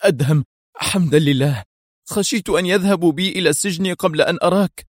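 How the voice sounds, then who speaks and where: A man's voice: sits high, quick pace, no room sound, voice pushed up. A man voicing a distraught male doctor, agitated and close to tears, in an audiobook thriller. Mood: tearful relief. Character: distraught, trembling male surgeon, wrongly accused brother.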